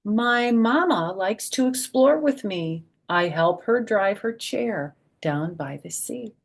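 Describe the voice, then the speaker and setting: An older woman doing a children's read-aloud storytime, moving between expressive sing-song reading and soft, gentle asides. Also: mid-range voice, slow, gravelly. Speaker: storyteller, children's author and former schoolteacher.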